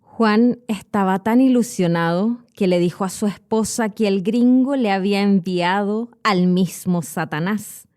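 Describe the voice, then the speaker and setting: A woman with a Chilean Spanish accent, a podcast host and Spanish teacher, narrating a horror story in a clear, measured way. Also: medium pitch, darkish tone.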